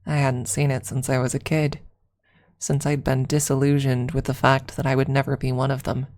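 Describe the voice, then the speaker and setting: Woman, ASMR narrator, very low pitch, narrow intonation, soft deliberate delivery, dramatic pauses. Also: level tone. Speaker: streamer reading a story aloud. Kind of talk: ASMR narration of a first-person story.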